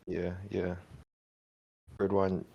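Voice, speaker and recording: Young man, very low voice, bantering host. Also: monotone, very slow, creaky, highs cut off. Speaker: podcast host. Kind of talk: casual chat.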